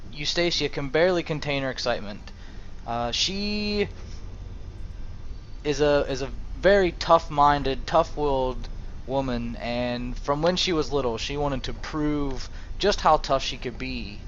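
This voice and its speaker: A young man casually narrating a role-play over a video game, hesitant and halting as he speaks. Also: medium pitch, a bit bright. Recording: dull home mic, faint noise.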